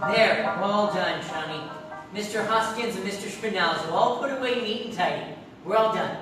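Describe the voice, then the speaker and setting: A woman's voice: medium pitch, normal speed, over music, natural voice. A woman playing a tired, nervous surgeon henchman who wheedles and pleads in a live stage comedy. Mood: weary, coaxing. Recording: echoey theatre, distant mic, faint noise.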